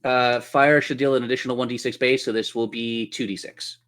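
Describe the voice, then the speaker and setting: A man's voice: mid-range voice, steady tone, a little fast, a bit bright. A man acts as game master for a tabletop role-playing session. He narrates in a playful way, with theatrical turns of phrase and a mid-range voice.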